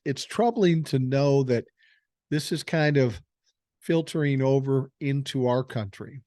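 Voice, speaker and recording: A man's voice: mid-range voice, mellow, dry recording, studio quality. An older man hosting a political podcast, giving blunt, profane opinions and reading news stories aloud. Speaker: podcast host.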